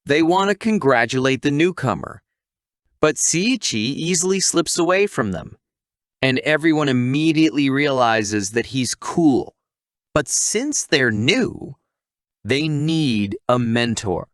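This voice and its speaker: A man's voice: mid-range voice, animated, slow, no room sound, studio quality. A man reading a scripted story recap as a narrator.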